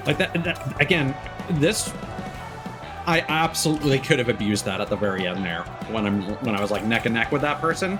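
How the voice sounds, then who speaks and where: A man doing casual gameplay commentary as a livestreamer, rambling and easily sidetracked, with casual swearing. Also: medium pitch. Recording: game music playing behind voice. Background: video game music.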